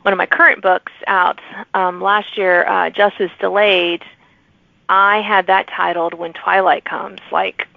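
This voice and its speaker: A woman hosts a casual chat in a lowish voice with plenty of creak at the edges. She thinks aloud, often leaving phrases half finished. The sound is dry and slightly dull, like a lo-fi recording. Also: little pitch movement, unhurried, dry recording. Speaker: podcast host and fiction author. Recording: dull, lo-fi close audio.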